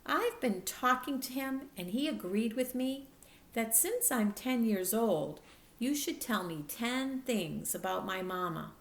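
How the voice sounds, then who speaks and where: Woman as an earnest, lonely ten-year-old girl, creaky edge. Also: fairly high pitch, expressive, a little slow, echoey room. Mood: earnest, pleading.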